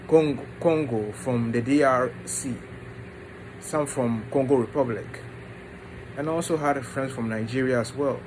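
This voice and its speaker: A man talks informally about himself in a medium-pitched voice. He moves in short, halting phrases with pauses. The recording has clearly audible background noise, like a steady room hum. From Ghana and the United States. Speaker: vlogger introducing his book. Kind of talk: informal personal storytelling to camera.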